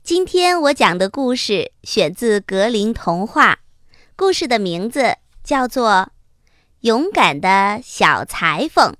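A woman narrating a scripted fairy tale for children as the host, with expressive, deliberate storytelling. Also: fairly high pitch, dry recording.

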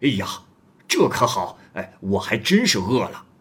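A man voicing a booming red-bearded wanderer. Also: huge pitch swings, moderate pace, slightly rough, heavily acted. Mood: hearty. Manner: loud, booming, blunt. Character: bold, hearty red-bearded male wanderer, middle-aged.

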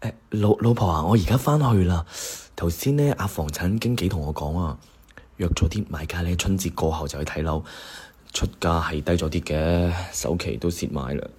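A man's voice: low-pitched, moderate pace, darkish tone, husky. A man playing a burdened, sardonic company boss in a Cantonese radio drama. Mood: worried, weary. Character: male struggling company boss, burdened, sardonic old classmate.

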